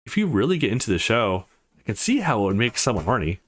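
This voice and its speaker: Man reading as a curious young woman, lively pitch. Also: fast. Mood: thoughtful. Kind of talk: reading visual novel dialogue.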